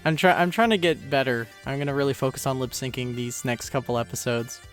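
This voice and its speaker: A man's voice: mid-range voice, fast talker. Man giving casual commentary over music. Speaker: series creator and commentary host. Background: music and cartoon episode audio.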